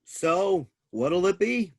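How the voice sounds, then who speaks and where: A man's voice: high voice, very animated, takes it slowly, vocal fry, full character voice. A man playing a crass, stubborn, folksy boulder seller in a staged play reading. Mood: crass, dismissive. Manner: folksy ungrammatical talk, chuckling.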